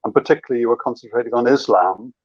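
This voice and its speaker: A man's voice: mid-range voice, racing, dry recording, lo-fi sound. A man co-hosting an interview, asking probing questions with stumbling restarts. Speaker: podcast co-host.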